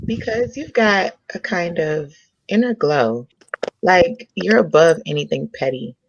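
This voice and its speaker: A woman reading the part of a warm, admiring young woman on a romantic date in a comedy table read. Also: lowish voice, animated, unhurried. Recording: dull, slightly noisy home recording.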